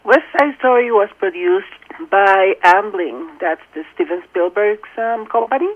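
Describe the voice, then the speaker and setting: Woman, middle-aged, earnest, measured, thoughtful pauses, tinny phone audio. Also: mid-range voice, lively intonation. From Puerto Rico. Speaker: language and accent consultant. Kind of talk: phone interview.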